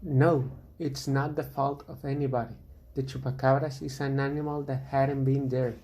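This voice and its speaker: Guy as a protective monster hunter in a radio play, mellow voice, matter of fact and consoling. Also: medium pitch, flat delivery, normal speed, slight background noise, lightly acted. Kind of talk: amateur radio drama acting.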